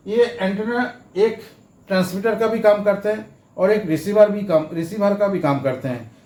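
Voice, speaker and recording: A man's voice: sits high, darkish tone. A man presenting an informal how-to lesson, explaining step by step and often repeating his phrases. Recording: echoey room sound.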